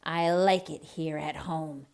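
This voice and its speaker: A woman voicing a sly, grumpy old male snake with a hissing, whispery, drawn-out delivery in a children's story. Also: low-pitched, fairly even intonation, creaky, a little mellow, heavily acted. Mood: smug.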